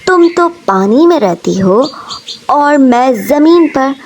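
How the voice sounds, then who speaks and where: A woman voicing an excitable, easily frightened male crow in a cartoon story, exclaiming and stammering. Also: high voice, slow, slight background noise. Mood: puzzled. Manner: exclaiming, stammering when scared.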